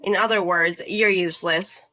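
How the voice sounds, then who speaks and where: A woman reads the part of a condescending older brother-in-law in his thirties who scolds, demands and talks down to everyone. The bright voice sits at an ordinary pitch and keeps a sneering, bossy edge throughout the drama story. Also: fairly even intonation, normal speed, soft background music. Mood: scolding. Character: entitled bullying older brother-in-law, man in his 30s.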